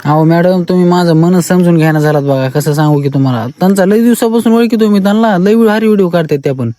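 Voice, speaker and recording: Fast, high-voiced rural Maharashtrian man. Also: warm tone. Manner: pleading, evasive, insistent. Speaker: caller, self-declared fan. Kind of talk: comic phone call.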